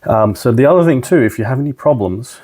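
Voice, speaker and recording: A man's voice: mid-range voice, expressive, fast, dark tone. A man presenting a hands-on product tutorial, talking informally and instructing step by step as a trainer. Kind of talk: casual hands-on tutorial narration.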